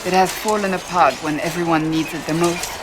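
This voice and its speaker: A woman's voice: fairly low pitch, fairly even intonation, brisk, noisy background, natural voice. An actress playing a stern, devout rebel warrior woman in a video game, speaking formally and earnestly. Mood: grave. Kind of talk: dramatic video game dialogue.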